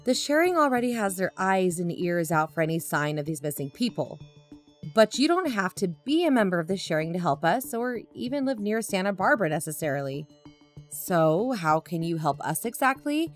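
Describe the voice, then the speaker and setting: An actress as a woman co-hosting an investigative podcast, with a lively, swinging intonation. She narrates conversationally and with concern over faint music. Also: medium pitch, normal speed. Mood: concerned, explanatory. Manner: conversational, expressive. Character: animated, concerned female investigative podcast co-host. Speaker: co-host. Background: background music.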